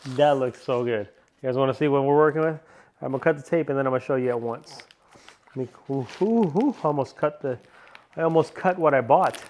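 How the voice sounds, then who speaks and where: A man chatting through a casual car-parts unboxing, excitable and rambling, still a little sniffly from a cold. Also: mid-range voice, brisk, slight background noise. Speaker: car vlogger.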